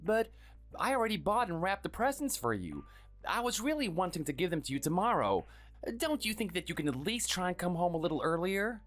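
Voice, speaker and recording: A performer voicing a meek, sickly man in his thirties who speaks softly and hesitantly, always apologizing. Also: high voice, very animated, fairly quick, faint music behind. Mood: pleading. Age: 30s. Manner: soft, hesitant, pleading. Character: meek sickly young husband, timid and apologetic.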